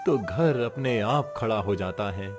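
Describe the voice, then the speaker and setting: A man's voice: fairly low pitch, expressive, slow pace, soft background music. A man acting a poor, weary but resolute village father, earnest and comforting, in a cartoon moral story. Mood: solemn, reassuring.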